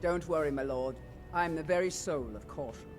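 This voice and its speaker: An actress playing a stern, vigilant elf warrior bodyguard in a video game, with a clipped, dry delivery. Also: female voice, sits low, normal speed, soft background music, natural voice. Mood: cool, assured. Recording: game dialogue with long hall reverb.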